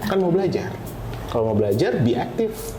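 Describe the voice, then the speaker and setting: A man's voice: a little high, big pitch swings, brisk. A man explaining things casually as a podcast guest, mixing in English words. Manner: explanatory, peppers talk with English words and tags. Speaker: guest, data scientist. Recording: roomy echo, faint background noise.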